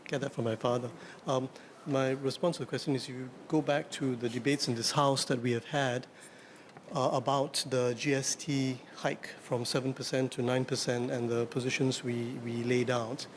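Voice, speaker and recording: A soft-spoken man, an opposition member of parliament, answering in a measured, hesitant way. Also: medium pitch, little pitch movement, a little hiss or hum. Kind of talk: parliamentary debate response. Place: parliament chamber.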